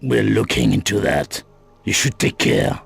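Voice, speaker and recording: Gravelly, menacing underworld boss. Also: male voice, on the low side, sing-song, a little slow, heavily acted. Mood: guarded, dismissive. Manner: guarded, dismissive, threatening. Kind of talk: video game cut-scene acting.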